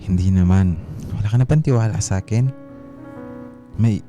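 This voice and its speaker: Man acting a low, very dark-voiced husband. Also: moderate pace, lightly acted. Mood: defensive, irritated. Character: evasive, short-tempered airline pilot husband in his thirties. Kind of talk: radio drama acting. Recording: music bed behind the voice.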